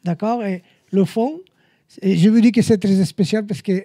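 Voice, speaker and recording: A man with a Spanish accent, a guest painter talking about his work, hesitant and halting, often restarting his sentences. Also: high-pitched, dark tone, no echo, studio quality. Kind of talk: casual chat.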